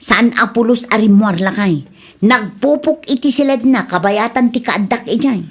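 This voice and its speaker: A woman's voice: a little high, animated, moderate pace, breathy edge. A woman with an Ilocano accent acting an indignant, pushy elder daughter in a radio drama, exclaiming and querulous. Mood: aggrieved.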